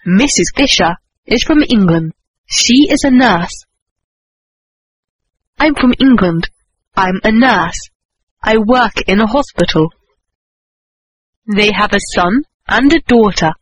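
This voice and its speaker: A woman reading a scripted English lesson slowly and carefully. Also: mid-range voice, lively intonation, husky, no room sound, poor audio. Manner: slow, careful, deliberate enunciation. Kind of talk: slow scripted language-lesson dialogue and reading.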